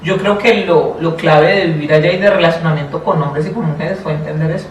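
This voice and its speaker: A woman with a Colombian accent answering interview questions in a thoughtful, deliberate way, often repeating and rephrasing herself. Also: low-pitched, steady tone. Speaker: interview guest, public education official. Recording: roomy, distant mic, faint noise.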